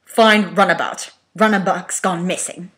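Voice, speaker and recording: A woman voicing a domineering male robot tyrant who scolds and demands in a forceful way, in a dramatic fan fiction reading. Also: fairly low pitch, a little slow. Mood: angry, demanding.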